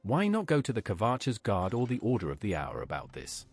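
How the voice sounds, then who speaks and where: A man's voice: on the low side, huge pitch swings, brisk, a little mellow, husky, soft background music. A man's synthetic voice asking polite, plain questions as a game's player character. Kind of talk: text-to-speech game dialogue.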